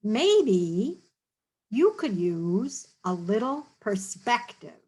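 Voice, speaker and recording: A woman's voice: medium pitch, very animated, very slow, dark tone, breathy edge, heavily acted. A woman voicing a patient female art teacher giving advice in a read-aloud storybook. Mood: gentle.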